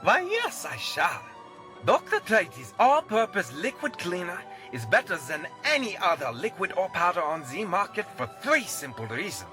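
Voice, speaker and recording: A man's voice: high-pitched, huge pitch swings, normal speed, pushed and bright, soft background music, full character voice. A man playing a pompous, self-assured doctor in a scripted radio ad, grandly formal and salesy.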